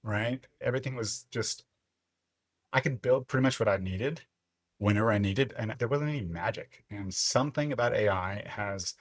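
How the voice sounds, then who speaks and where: A man in his fifties, originally from Lebanon, telling his story as a podcast guest in a reflective, unhurried way. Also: fairly low pitch, no echo. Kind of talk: casual interview chat.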